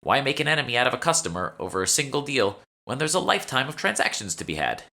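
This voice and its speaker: Guy doing a chatty young shop girl for an audiobook, pitched up, super lively, eager and salesy. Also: quick pace, gravelly, full character voice. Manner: polite, salesy, effusive. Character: chatty, eager young female magic shopkeeper. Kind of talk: audiobook character dialogue.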